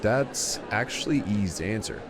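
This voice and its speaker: A man playing a calm, casual military operative and gadget maker in an amateur audio drama. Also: fairly low pitch, normal speed, close to an everyday voice. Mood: matter-of-fact. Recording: clearly noisy home recording.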